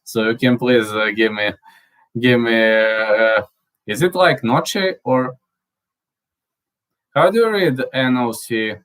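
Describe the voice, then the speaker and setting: Halting man, English his third language. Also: mid-range voice, a bit bright, clear, resonant tone. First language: Serbian. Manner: halting, with many fillers and restarts; laughs often, spells out words he can't pronounce. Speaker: channel host. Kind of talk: casual live chat, reading viewer comments.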